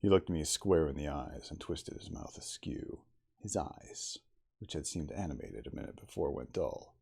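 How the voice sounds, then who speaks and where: A man reading a classic novel aloud as ASMR, in a soft, intimate, slow dramatic storytelling manner. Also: a bass voice, animated, slightly rough. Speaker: audiobook reader in character as the narrator.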